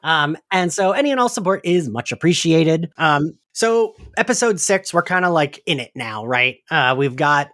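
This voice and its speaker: A man's voice: on the high side, expressive, normal speed, hardly any pauses, sharp tone, dry recording. A man hosting a podcast in casual chat, conversational and peppered with "like" and tag questions. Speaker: podcast host.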